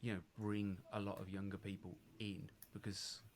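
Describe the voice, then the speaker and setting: A man hosting a casual live video chat, easygoing and quick to laugh, letting his sentences trail off. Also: on the low side, flat delivery, slight background noise. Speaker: host of a live hairdressing stream.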